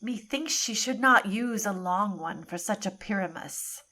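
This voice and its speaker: Audio-play role: haughty lady of the court, acted by a woman, mid-pitched, slow measured lines. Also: pushed and bright. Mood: wry disdain. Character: haughty noblewoman watching and commenting on a play. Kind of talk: Shakespearean audio play acting.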